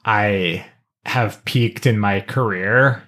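A middle-aged podcast co-host chatting casually, with an informal, halting delivery and slangy asides. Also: sits low, a bit bright. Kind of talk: casual chat.

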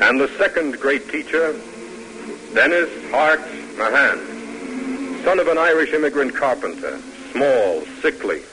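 A man narrating a vintage radio drama in a solemn, declamatory, measured style. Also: mid-range voice, expressive, background noise. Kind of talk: dramatic 1940s-50s radio documentary narration. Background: music.

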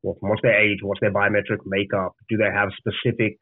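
A man's voice: on the low side, flat delivery, a little fast, slightly rough, no room sound. A man from Zimbabwe with a Zimbabwean accent, a founder answering interview questions earnestly, often restarting his words. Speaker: guest, startup founder. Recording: dry, tinny phone-like line.

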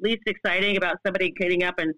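A woman in her fifties, a longtime bartender and brand ambassador, answering interview questions in a loud, well-projected, animated voice. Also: on the low side, rapid-fire, no echo, low-quality recording. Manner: animated, laughs often.

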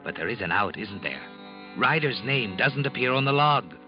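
A man acting as an ominous, accusing narrator in a 1940s radio mystery, speaking to the guilty in a knowing, deliberate way. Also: mid-range voice, lively intonation, lightly acted. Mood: taunting, knowing. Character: ominous all-knowing male storyteller taunting the guilty. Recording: dull old 1940s radio recording, music behind.

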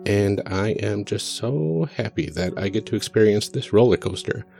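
Low-voiced man, very animated podcast host. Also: normal speed, gravelly, faint music behind. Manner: friendly, earnest, appreciative. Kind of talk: casual podcast announcements and banter.

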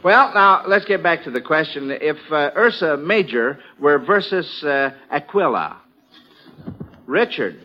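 A man's voice: on the high side, lively intonation, measured pace. A man with an American accent hosting a 1940s radio quiz in a folksy, encouraging way, often echoing contestants' answers. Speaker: quiz show host. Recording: muffled, noisy vintage radio recording.

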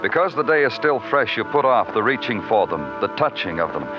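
A hard-boiled police detective from an old radio drama, his voice on the low side and brooding. He narrates the city in deliberate, weary poetry. The broadcast is muffled and old, with loud music behind. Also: big pitch swings. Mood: brooding, poetic. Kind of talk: 1950s radio noir acting, poetic narration.

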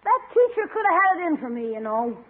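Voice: extremely high pitch, big pitch swings, fast talker, phone-quality sound. A performer playing a scheming, whiny young schoolboy who pleads and exclaims in 1940s radio comedy style, on an old broadcast. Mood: indignant whining.

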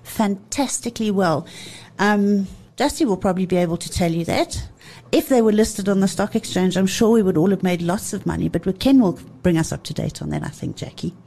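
Effusive, playful woman with a warm voice. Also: mid-range voice, a little fast. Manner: playful, effusive, laughs often. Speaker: wine commentator. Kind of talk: lively radio chat.

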